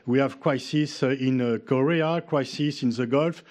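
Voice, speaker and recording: A man opening a conference session with halting formal remarks and many fillers. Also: medium pitch, a little mellow. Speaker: conference host.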